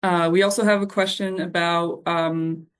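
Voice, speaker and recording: A woman on a program's staff answering audience questions in an informal, halting, reassuring way. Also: on the low side, level tone, clear, resonant tone.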